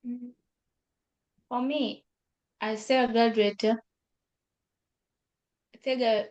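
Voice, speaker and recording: Halting young woman. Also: a little high, darkish tone, lo-fi sound. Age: young adult. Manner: halting, repeats phrases, many fillers. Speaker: school graduate. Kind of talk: webinar testimony.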